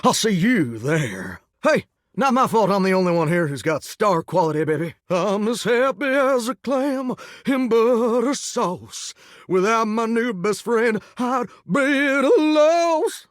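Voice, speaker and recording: An actor playing a vain, folksy singing shower in a video game, with a theatrical Southern drawl and country sayings. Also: male voice, fairly high pitch, very animated, normal speed, no echo, clean studio sound. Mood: cocky, testy. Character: flamboyant, folksy singing shower, vain would-be showbiz star. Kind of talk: animated video game character acting with bursts of song.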